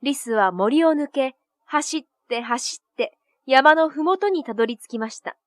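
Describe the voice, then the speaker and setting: Female storybook narrator: high voice, scripted children's tale delivery, music bed underneath. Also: a little slow. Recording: soft music behind.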